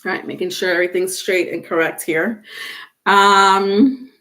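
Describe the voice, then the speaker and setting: A woman's voice: medium pitch, unhurried, sharp tone. A woman with a stuffy nose doing a casual live tarot stream, chatting with viewers and full of fillers, without a microphone. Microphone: no microphone, device mic.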